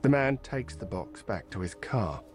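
A man reading audio description of a film in an even, neutral manner. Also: on the low side, unhurried, loud music behind the voice. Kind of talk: scripted narration.

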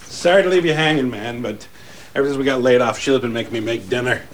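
A man's voice: medium pitch, fast talker, slight background noise, close to an everyday voice. An actor playing a middle-aged laid-off father with a dry, wisecracking way of talking in a film scene. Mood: apologetic. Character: wisecracking laid-off dad, grumbling. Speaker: laid-off father helping at a day care.

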